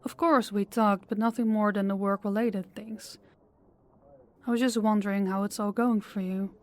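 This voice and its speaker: A woman playing a composed, stern female crime boss in an audio drama, speaking deliberately and with authority. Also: mid-range voice, steady tone, darkish tone, close to an everyday voice. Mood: smooth, friendly. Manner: authoritative, deliberate, clipped orders. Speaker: voice actor. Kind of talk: audio roleplay drama acting.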